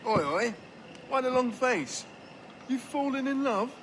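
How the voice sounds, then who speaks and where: An actor voicing a louche, flirtatious, bumbling pirate rogue in a video game, hesitant and comically wheedling. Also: male voice, extremely high pitch, expressive, unhurried, exaggerated voice. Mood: teasing. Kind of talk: video game cutscene acting. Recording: game mix with loud background noise.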